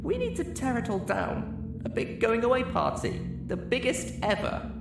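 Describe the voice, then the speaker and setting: A man voicing a manic, tearful female cartoon pony in a shrill falsetto, childlike, earnest and emotional. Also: huge pitch swings, moderate pace, creaky, echoey room, far from the mic, loud music behind the voice, heavily acted. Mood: solemn, desperate.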